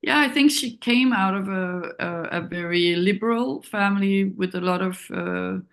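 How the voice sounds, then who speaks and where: Danish-accented woman, hesitant and creaky-voiced. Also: mid-range voice, normal speed, a bit bright. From Denmark. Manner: hesitant, thoughtful, searching for words. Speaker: documentary director, interview guest. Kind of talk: reflective interview talk.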